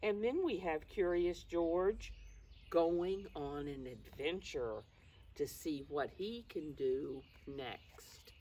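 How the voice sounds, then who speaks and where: An older woman reading aloud to young children, pausing to explain things in simple words. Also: sits low, lively intonation, warm tone. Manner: addresses children simply, calls self by name in third person. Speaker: preschool storytime reader. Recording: distant mic, faint background noise.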